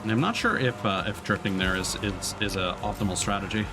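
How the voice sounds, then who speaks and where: A man's voice: fairly low pitch, brisk, music in the background. A man streaming a game with casual, informal, sweary live commentary. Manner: informal, sweary, self-critical. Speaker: streamer, VTuber.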